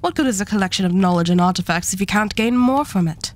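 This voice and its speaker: Female arch devil for an ASMR roleplay, acted by a woman: mid-pitched, imperious treasure hoarder, condescending, unhurried, courteously threatening. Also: lightly acted. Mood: coolly menacing. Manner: condescending, unhurried, politely menacing. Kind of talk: scripted ASMR roleplay acting.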